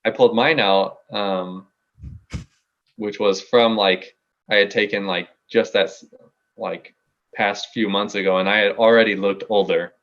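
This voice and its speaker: Deep-voiced American teenage guy, slightly dull sound. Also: steady tone, measured pace, a bit bright. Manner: playfully argumentative. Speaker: podcast guest, music artist. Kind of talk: casual chat, storytelling.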